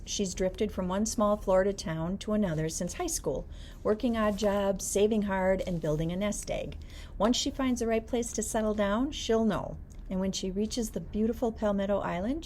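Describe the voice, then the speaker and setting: A woman's voice: fairly high pitch, a little mellow, a little hiss or hum. A woman, a librarian, reading out book summaries and adding casual comments of her own. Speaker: librarian presenting book picks.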